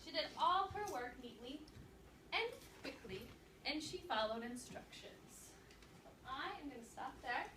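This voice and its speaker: A woman speaking as a teacher, reading aloud and instructing a class with an animated, questioning manner. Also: sits high, unhurried. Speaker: teacher demonstrating an interactive read-aloud. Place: indoor classroom. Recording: roomy classroom, distant mic, background noise.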